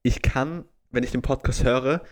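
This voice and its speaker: A young Austrian man chatting on a podcast. His pitch is in the middle range and his tone is lively. He hesitates often with "ähm" and trips over his words. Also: a little slow. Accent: Austrian German. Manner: animated, hesitant with fillers and stumbles. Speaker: podcast co-host. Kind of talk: casual chat.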